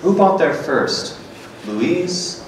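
Man reading as a deadpan companion, very echoey. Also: on the high side, extremely slow, full character voice. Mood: terse. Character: dry, blunt, sceptical male companion. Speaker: performer reading a script. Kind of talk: seated staged reading of a dialogue script. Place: small hard-walled gallery room, indoors. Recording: very echoey room, distant, faint noise.

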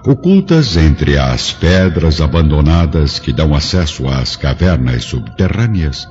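A man's voice: rumbling low, very animated, a little slow, darkish tone. A man giving dramatic scripted narration as the narrator of an audiobook radio drama. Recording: muffled lo-fi audio under music.

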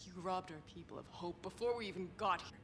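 A woman's voice: on the low side, fast, a little hiss or hum, natural voice. An actress playing an angry, embittered young woman, a blunt war survivor in a video game cutscene. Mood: bitter, accusing. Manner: blunt, accusing, sweary.

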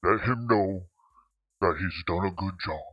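A man voicing a menacing demon monster, growly and ominous, pitch-shifted down with boosted bass. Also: slightly rough, no room sound, muffled recording. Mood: ominous menace. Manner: slow, ominous delivery.

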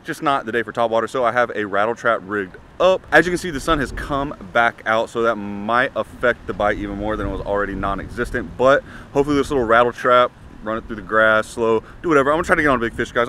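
A young man, talking casually to the camera as he fishes outdoors, rambling and excitable. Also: medium pitch, fast, few pauses. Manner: rambling, excitable, talks to himself during fights. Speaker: fishing vlogger. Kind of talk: casual talk to camera while fishing. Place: outdoors at ponds, windy. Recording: loud outdoor wind and water noise.

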